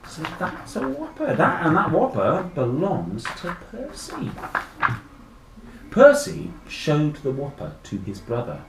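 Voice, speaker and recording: A man reading a children's story expressively, with chatty asides and dramatic gasps and pauses. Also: a little high, huge pitch swings, vocal fry, echoey room, noisy background. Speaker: children's book reader. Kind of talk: animated picture-book storytelling with chatty asides.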